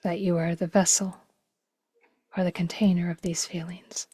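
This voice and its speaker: A woman leading a guided meditation slowly, in a gentle, hushed, breathy voice with long pauses. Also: lowish voice, flat delivery, no room sound. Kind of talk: guided meditation, slow and spacious.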